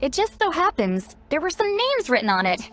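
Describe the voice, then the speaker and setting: A woman's voice: high voice, big pitch swings, fairly quick, fairly bright tone. A woman voicing a spry, youthful-sounding self-styled granny in a video game, playful, knowing and caring. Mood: concerned, thoughtful. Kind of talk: scripted video game dialogue. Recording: game music behind. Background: game music.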